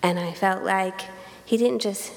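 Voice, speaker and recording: A woman with a gravelly, fry-laden voice speaks softly at a memorial, at times sinking to a near whisper. Her mid-pitched voice echoes through a church sanctuary with a long reverb. Her delivery is intimate and quiet. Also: fairly even intonation, a little slow. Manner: soft, dropping to near-whispers. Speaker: family member giving a eulogy. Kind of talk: personal memorial remarks. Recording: reverberant hall.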